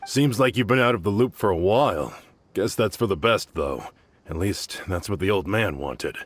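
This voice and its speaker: A man playing a grim, vengeful desert outlaw in a video game, bitterly loyal and grave, with a husky voice. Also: low-pitched, expressive, normal speed.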